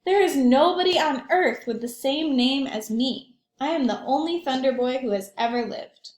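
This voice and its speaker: Earnest, determined little storybook boy, voiced by a woman, high pitch, emphatic delivery, echoing room. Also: normal speed, a little mellow, close to an everyday voice. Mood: proud, eager. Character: spirited young boy longing for his own name. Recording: echoey room.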